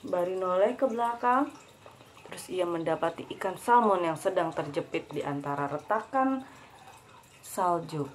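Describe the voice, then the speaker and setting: A woman's voice: on the low side, slight background noise. A young woman reading a children's story aloud to a child, breaking off for chatty asides. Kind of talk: casual read-aloud storytelling.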